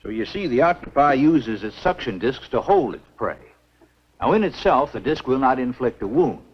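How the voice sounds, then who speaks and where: Casting: older scientific doctor, husky, lively intonation, grave, lecturing, muffled vintage film audio. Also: male voice, medium pitch. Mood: explanatory. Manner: measured, lecturing. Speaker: film actor. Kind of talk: 1950s B-movie screen acting. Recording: muffled 1950s film soundtrack.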